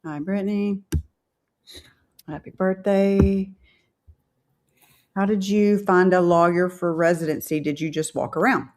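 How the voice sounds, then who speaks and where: A woman's voice: on the low side, fairly even intonation, a little slow, long pauses, darkish tone. Woman host for a casual live chat; reads viewer comments aloud, chatty and easy laughing.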